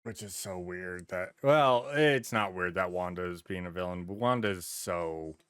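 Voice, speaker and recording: A man hosting a podcast in casual conversation, animated and given to acting out imagined quotes. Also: low voice, normal speed, clean tone, no room sound, studio quality. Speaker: podcast host.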